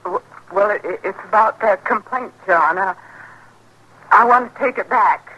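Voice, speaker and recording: An actress playing a gentle, frightened young frontier wife in an old American radio drama, halting and plaintive. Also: female voice, medium pitch, husky, muffled recording. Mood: anxious, pleading. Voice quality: halting, stammering, plaintive delivery. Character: battered frontier wife, gentle, wistful, afraid, about twenty-five. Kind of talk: 1940s-50s radio drama acting. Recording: bright, noisy old radio recording.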